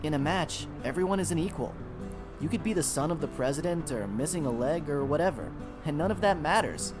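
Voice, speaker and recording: Actor playing an earnest young mecha pilot over music. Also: male voice, fairly high pitch, lively intonation, a little fast, natural voice. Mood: sincere. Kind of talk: video game voice acting. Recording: music under the voice.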